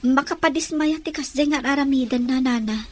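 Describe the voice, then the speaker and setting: A woman's voice: high-pitched, fairly quick, natural voice. A woman playing a sincere, loyal young sweetheart in a radio drama. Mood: dismayed. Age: young adult.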